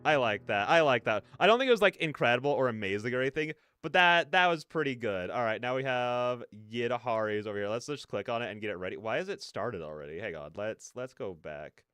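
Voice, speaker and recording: Animated man giving casual reaction commentary. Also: medium pitch, fairly quick, few pauses, clean tone, no room sound. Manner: effusive, repeats phrases for emphasis. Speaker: reaction video host.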